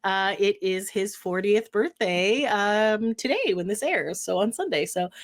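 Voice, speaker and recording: A woman in her forties co-hosting a podcast, chatting casually and telling stories with lively acted-out quotes. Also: medium pitch, unhurried. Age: 40s. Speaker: podcast co-host. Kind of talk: casual chat.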